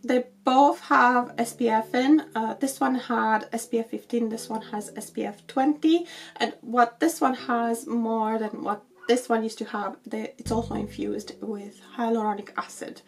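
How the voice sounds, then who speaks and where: A woman in her forties with a croaky, slightly husky voice from being unwell, chatting casually to camera in a makeup review, with plenty of ums. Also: a little high, steady tone, unhurried. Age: 40s. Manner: chatty with frequent ums, light laughs. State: croaky from illness. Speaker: beauty vlogger. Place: bedroom with shelves, indoors.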